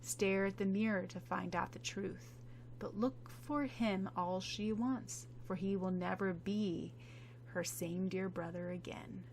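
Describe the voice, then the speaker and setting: A woman voicing a smug lion-headed sphinx spirit that speaks its riddles slowly, with a mocking, teasing lilt. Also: mid-range voice, steady tone, darkish tone, a little hiss or hum, lightly acted, low-quality recording. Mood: taunting. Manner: slow, teasing, mocking sing-song.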